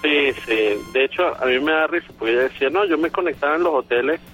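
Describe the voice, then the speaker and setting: A man's voice: fairly high pitch, quick pace, fairly bright tone, faint music behind. A man calling in by mobile phone, rambling with lots of fillers. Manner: rambling, many fillers like "bueno" and "o sea". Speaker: phone-in guest. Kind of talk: casual chat by phone. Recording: dull phone line.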